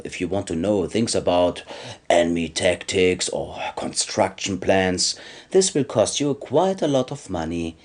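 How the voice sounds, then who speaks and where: A man playing a shady, businesslike trader in secrets, briefing a commander in a measured way in a game cutscene. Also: on the low side, a little mellow.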